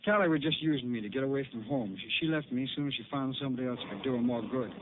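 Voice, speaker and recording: An actor playing an estranged young cowboy, defensive, with a rural drawl, in a 1950s radio drama. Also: male voice, mid-range voice, rapid-fire, natural voice. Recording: phone-narrow old radio transcription with hiss.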